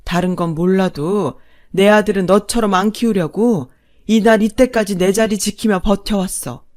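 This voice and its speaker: A woman's voice: medium pitch, normal speed, darkish tone, close to an everyday voice. A woman voicing a long-suffering older wife and mother, fierce, defiant and coarse-tongued, in a dramatised family story. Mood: bitter fury. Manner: blunt, biting, coarse-tongued.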